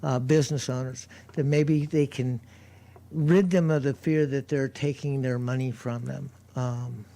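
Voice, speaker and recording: A man with a loud, warm, mellow voice, talking loosely in a radio studio. He rambles and restarts often, mid-range in pitch, never polished. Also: fairly even intonation, measured pace, creaky. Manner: rambling, with many fillers and restarts. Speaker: street performer guest. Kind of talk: casual radio chat.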